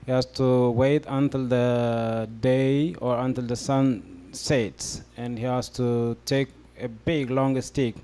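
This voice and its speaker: A man's voice: medium pitch, fairly even intonation, slow, few pauses, mellow, clear, resonant tone. A young man from Ethiopia speaking on a discussion panel, hesitant and full of fillers as he explains his answer. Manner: hesitant, many fillers and restarts. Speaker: panel guest.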